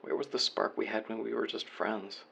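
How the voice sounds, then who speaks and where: A man's voice: lowish voice, steady tone, moderate pace, creaky, fairly bright tone, slight background noise. A man playing a remorseful young man leaving an apologetic voicemail to his former best friend, earnest and confessional in an audio roleplay monologue. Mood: regretful, subdued.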